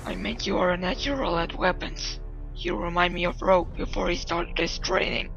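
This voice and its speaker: Male actor as imperious dark fantasy cartoon lord: high, gravelly creak, curt commands, cold temper. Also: fairly even intonation, moderate pace, muffled recording. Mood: commanding. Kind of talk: amateur animated fantasy series acting. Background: music.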